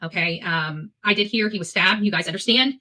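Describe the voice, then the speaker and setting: A woman's voice: medium pitch, fairly quick, pushed and bright, rough recording. A woman hosting live opinion commentary, speaking bluntly and emphatically, repeating phrases for weight and swearing freely. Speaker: host of a live commentary show.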